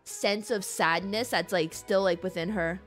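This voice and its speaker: A woman's voice: medium pitch, fairly even intonation, measured pace. A woman streamer giving casual, slangy and emotive live commentary on a game. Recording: game music behind voice. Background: game music.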